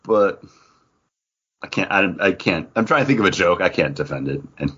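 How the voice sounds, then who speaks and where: A man hosting a casual podcast, chatting in an animated, joking way with quick asides. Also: low-pitched, husky, highs cut off. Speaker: podcast host.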